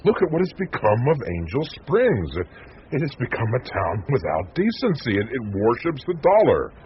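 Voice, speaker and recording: An actor playing a cynical, needling newspaper reporter in a 1980s radio drama, with a sardonic, pointed way of talking. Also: male voice, medium pitch, huge pitch swings, moderate pace. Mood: indignant. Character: cynical, needling male American newspaper reporter, indignant crusader. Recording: muffled, noisy old radio broadcast recording.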